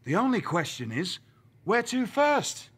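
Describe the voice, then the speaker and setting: An actor playing a male wrestling general manager who speaks in a dry, deliberate, formal way. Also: high-pitched, sing-song, fairly bright tone, slightly rough, heavily acted. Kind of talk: video game cutscene acting.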